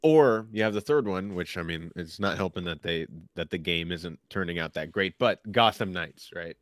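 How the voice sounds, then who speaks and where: A man co-hosting a casual chat, laying out his opinions in a halting, think-aloud way. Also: deep voice, dry recording, clean studio sound. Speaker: co-host of a pop culture discussion show.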